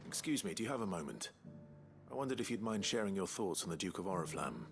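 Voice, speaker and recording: An actor playing a grave, courteous adventurer in a fantasy game, measured and understated in manner. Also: male voice, deep voice, big pitch swings, gravelly, music in the background, full character voice. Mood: calm inquiry. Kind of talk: scripted fantasy game acting.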